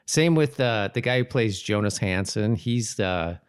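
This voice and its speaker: A man's voice: fairly low pitch, animated, unhurried, smooth, no echo, clean studio sound. A man co-hosting a podcast in casual conversation, rambling easily and correcting himself as he goes. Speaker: podcast host. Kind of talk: casual rewatch podcast chat.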